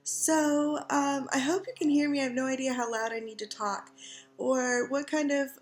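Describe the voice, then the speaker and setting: A woman's voice: high-pitched, steady tone, moderate pace. A middle-aged woman with a scratchy, irritated throat, chatting in a rambling way to her webcam from her couch at home. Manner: rambling, chatty, frequent ums and throat clearing. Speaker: craft vlogger. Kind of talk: casual chat to webcam. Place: living room couch at home. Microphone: computer webcam.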